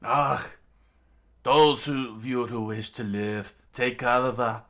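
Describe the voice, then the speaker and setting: A man's voice: lowish voice, steady tone, normal speed, sharp tone. A man voicing a haughty, self-regarding pirate warlord with pompous disdain while reading a comic aloud. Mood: disdainful.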